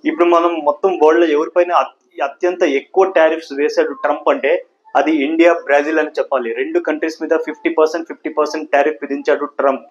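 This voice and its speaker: A man hosts a news explainer in a fairly high voice. He lays out points in an explanatory way, dropping English words into his sentences. The audio sounds a little dull and band-limited. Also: steady tone, fast. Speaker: host of a news explainer channel. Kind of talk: news commentary explainer to camera.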